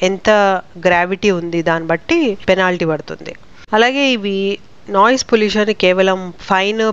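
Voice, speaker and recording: Female Telugu law explainer, smooth clear voice, mid pitch, informal teaching delivery, slightly dull audio. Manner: didactic, explains terms with frequent tag questions. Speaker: law teacher presenting short legal explainers.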